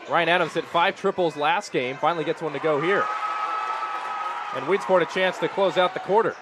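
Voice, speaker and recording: A man's voice: fairly high pitch, moderate pace, a crowd in the background, background noise. A young American man doing sports commentary as a broadcaster, with live arena sound behind him. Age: young adult. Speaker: sports broadcaster, play-by-play announcer and postgame radio host.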